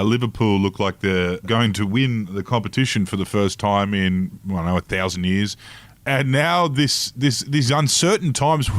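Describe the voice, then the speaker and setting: An Australian man hosting a podcast, rambling through his questions with a joking edge. His voice is low-pitched and recorded dry and close, with no room sound. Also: dry recording. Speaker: podcast host. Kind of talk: casual interview chat.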